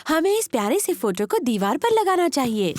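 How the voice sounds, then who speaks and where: A woman voicing a motherly, gently teasing mother pig in a children's cartoon dub. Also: high voice, big pitch swings, normal speed, dry recording. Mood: brisk, cheerful. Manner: bright-spirited, motherly, wry.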